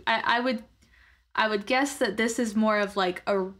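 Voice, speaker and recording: A woman takes part in a tabletop roleplaying game. Her voice is average in pitch. She describes her character's view in casual, improvised talk. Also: moderate pace, a bit bright.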